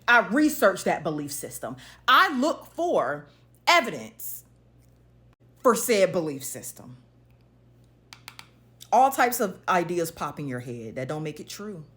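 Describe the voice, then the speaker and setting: A woman's voice: fairly low pitch, big pitch swings, slow pace, long pauses, bright, forceful tone. A woman giving an opinionated monologue to viewers, blunt and emphatic, posing pointed rhetorical questions as she goes. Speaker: social media commentator.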